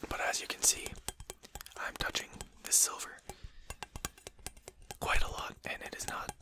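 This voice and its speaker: A performer voicing a composed, courteous, faintly mocking vampire in a breathy, articulate whisper for an ASMR roleplay. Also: natural voice. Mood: calm, smug. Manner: slow, articulate, formal, gently mocking. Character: composed, courteous, condescending vampire addressing a hunter.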